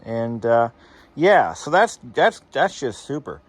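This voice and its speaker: Male DIY electronics host, mid-pitched and very animated, off-the-cuff and sardonic, happy to swear. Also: takes it slowly. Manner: wry, sarcastic asides, casual swearing. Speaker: host of a hobby electronics repair channel. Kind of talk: casual rambling demonstration talk to viewers.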